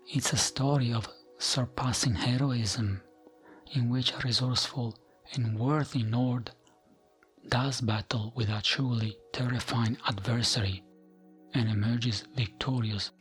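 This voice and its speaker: A man narrating fantasy lore as soft ASMR, gentle and soothing, with a breathy voice that often nears a whisper. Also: mid-range voice. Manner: gentle, soothing, unhurried storytelling.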